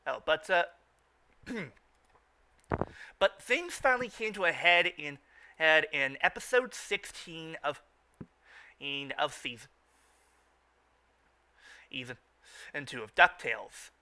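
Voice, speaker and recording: Male fan-show host: higher-pitched, sharp bright tone, stumbling repetitive delivery, informal recap style. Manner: halting, repeats and restarts phrases.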